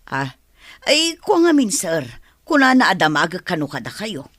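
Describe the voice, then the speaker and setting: A woman playing a flustered, deferential household servant in a comedy radio drama, husky-voiced, with an Ilocano Filipino accent. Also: lowish voice, sing-song, moderate pace, fairly bright tone, no room sound, clean studio sound.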